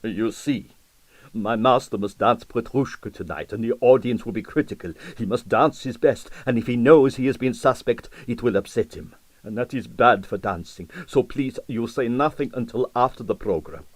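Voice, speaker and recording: Man playing a sulky, halting theatre dresser. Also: mid-range voice, dry recording, lightly acted. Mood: pleading. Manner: halting foreign-sounding phrasing, clipped sentences. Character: sulky, jealous, wary male theatre dresser.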